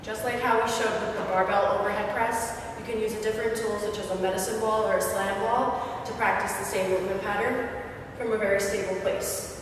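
A young woman, a fitness trainer, calmly explaining exercise technique as she demonstrates. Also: medium pitch, a little fast, noisy background. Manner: clear, steady coaching while demonstrating exercises. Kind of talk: instructional demonstration. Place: large gym with hard walls. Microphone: far camera. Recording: echoey gym from far off.

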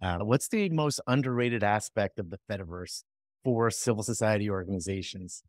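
Low-voiced host in a headset. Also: male voice, moderate pace, darkish tone, no room sound. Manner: hesitant, filler-heavy questioning. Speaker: interview host. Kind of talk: video call interview. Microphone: over-ear headset.

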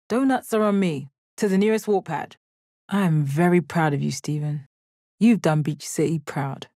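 A woman playing a stoic, confident, commanding gem warrior in a video game, with a measured, deadpan delivery. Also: lowish voice, no room sound, studio quality, lightly acted. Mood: satisfied. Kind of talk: video game character battle lines.

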